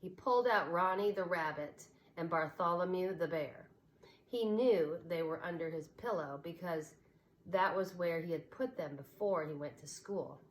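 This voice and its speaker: A woman teacher reading a children's novel aloud, expressive and changing voices for the characters. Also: fairly low pitch, moderate pace, a little hiss or hum, rough recording.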